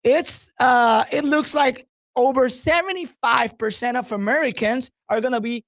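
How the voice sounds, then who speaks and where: A man's voice: very high voice, moderate pace, fairly bright tone, no echo. A man talking up sports as a radio guest, emphatic and driving points home with repetition. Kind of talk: promotional sports talk.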